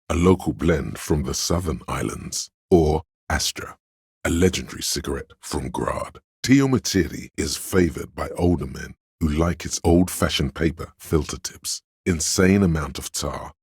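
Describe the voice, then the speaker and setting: A pedantic, all-knowing inner voice from a video game. It is extremely low and dry in the recording, with no room around it. The delivery is measured and scholarly, laying out facts like scripted lore. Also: male voice, husky, dry recording, full character voice. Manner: measured, learned, didactic. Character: pedantic, erudite inner voice of encyclopedic knowledge. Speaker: game character voice, narrator of lore. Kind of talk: scripted narration, delivering trivia.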